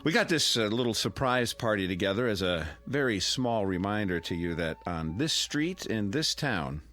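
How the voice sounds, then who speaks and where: Low-voiced genial neighbor giving a toast. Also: male voice, expressive, fairly bright tone. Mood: warm teasing. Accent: American. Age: middle-aged. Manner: folksy, teasing, halting speechmaking. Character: genial, sentimental middle-aged neighbor toasting his old friend. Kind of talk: radio drama acting, after-dinner speech.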